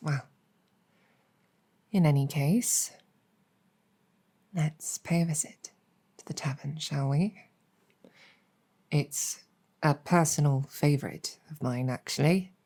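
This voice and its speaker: Female demon general, acted by a woman: deep-voiced, mellow, gracious and wry, soft-spoken ASMR roleplay. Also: slow pace, pauses often. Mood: inviting, earnest. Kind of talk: improvised ASMR roleplay storytelling.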